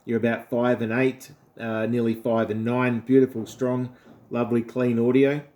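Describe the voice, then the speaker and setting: A man's voice: mid-range voice, little pitch movement, normal speed, darkish tone. A man with an Australian accent chatting casually as an amateur radio operator, friendly and complimentary in manner. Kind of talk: casual ham radio conversation.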